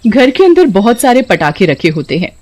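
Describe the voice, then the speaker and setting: A woman's voice: medium pitch, big pitch swings, moderate pace, a little mellow. A woman narrator reading a scripted children's story.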